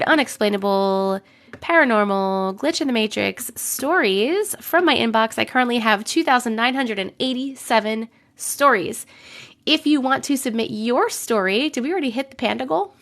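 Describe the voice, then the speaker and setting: Chatty woman with a cold-roughened voice. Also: sits high, normal speed, a bit bright. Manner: chatty, greets viewers by name between sentences. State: voice a bit rough from getting over a cold. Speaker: TikTok live streamer reading viewer stories. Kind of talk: casual live stream chat and story reading.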